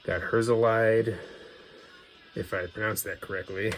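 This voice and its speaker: Low-voiced man talking casually over background noise. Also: fairly even intonation, measured pace, fairly bright tone. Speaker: video host showing a music CD collection. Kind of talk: casual unscripted collection commentary.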